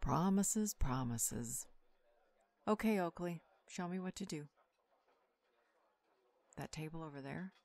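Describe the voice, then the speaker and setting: A woman's voice: low-pitched, expressive, slow pace, pauses often, darkish tone, no room sound, heavily acted. An actress playing a sassy, flirtatious part-wolf woman with a menacing edge, sardonic and playful, in an audio roleplay.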